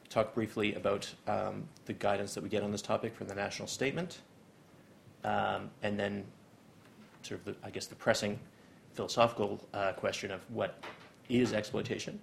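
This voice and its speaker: A man's voice: on the low side, vocal fry, slight background noise. A young Canadian man giving a seminar lecture, full of ums and uhs. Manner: hesitant, many ums and uhs. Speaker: guest lecturer. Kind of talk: academic seminar talk.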